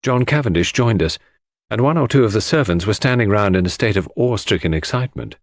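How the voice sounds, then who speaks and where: A man's voice: fairly low pitch, expressive, fast, no room sound, clean studio sound. A man reading scripted first-person literary narration as an audiobook narrator.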